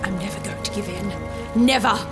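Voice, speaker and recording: A woman's voice: medium pitch, brisk, loud music behind the voice, close to an everyday voice. An actress playing a bitter, vengeful female rebel leader in a fantasy audio drama, theatrical, taunting and sardonic. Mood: bitter, menacing. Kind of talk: full-cast fantasy audio drama acting.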